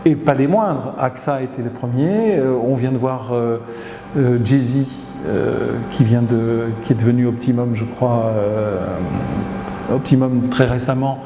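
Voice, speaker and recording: A middle-aged man from Tunisia, a company CEO answering interview questions, who pauses often and hesitates mid-sentence. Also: mid-range voice. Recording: echoey hall with noise behind.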